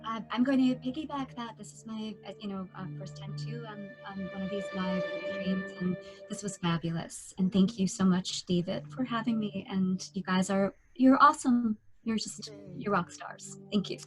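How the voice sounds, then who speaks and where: A woman's voice: medium pitch, steady tone, moderate pace, over music, rough recording. Bubbly woman chatting on a live stream. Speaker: voice actor guest. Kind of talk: casual chat.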